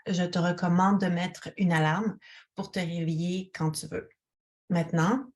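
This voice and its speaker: A woman guides a relaxation as a yoga teacher, speaking to one listener in a calm, soothing, instructional way. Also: on the low side.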